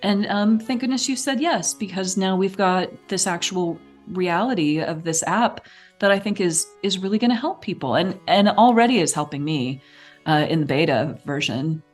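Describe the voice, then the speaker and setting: A woman's voice: fairly low pitch, expressive, normal speed, faint music behind. A woman guest explaining things conversationally and expressively, acting out little examples as she goes. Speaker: podcast guest, artistic director and writer.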